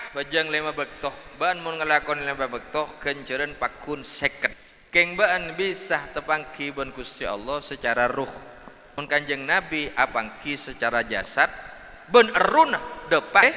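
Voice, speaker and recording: A man giving a sing-song, lively religious lecture at a mosque event. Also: a little high, big-room sound. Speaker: preacher.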